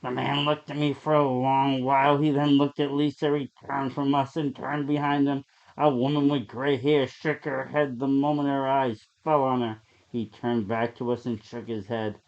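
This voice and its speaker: A man reading a horror story aloud as a dramatic narrator, with deliberate, suspenseful delivery. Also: mid-range voice, flat delivery, a little mellow. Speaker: story reader. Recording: dull, lo-fi sound.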